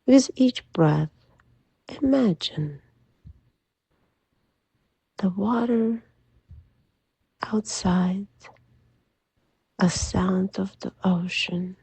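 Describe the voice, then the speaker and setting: A woman leading a guided sleep meditation in a soft, soothing, gentle voice that often drops to a breathy near-whisper. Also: mid-range voice, no echo. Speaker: hypnotherapist guide.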